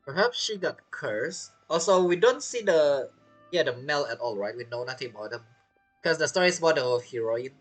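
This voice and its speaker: A woman's voice: deep voice, animated, normal speed, faint music behind, rough recording. A woman giving casual let's-play commentary, musing with offhand reactions and guesses. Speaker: gamer commenting on a playthrough.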